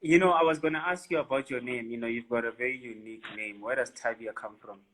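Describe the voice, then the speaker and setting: A man's voice: medium pitch, quick pace, a bit bright, a little hiss or hum, low-quality recording. A South African man hosting a casual online interview, talking loosely with lots of fillers. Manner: loose, filler-heavy, friendly. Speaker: host.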